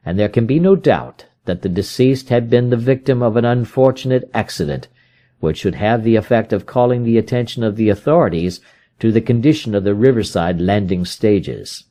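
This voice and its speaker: A man's voice: fairly low pitch, moderate pace, dark tone. A man reading classic fiction aloud as scripted audiobook narration. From United States. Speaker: audiobook reader.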